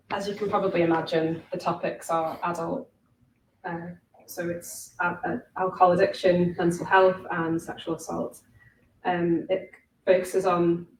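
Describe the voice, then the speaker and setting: Woman giving a talk, bit low, self-conscious, echoey room, mic far away. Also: a little mellow. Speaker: presenter, audio drama creator and director. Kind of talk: informal presentation to a room. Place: indoor room at a live presentation. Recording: echoey room, distant mic, faint noise.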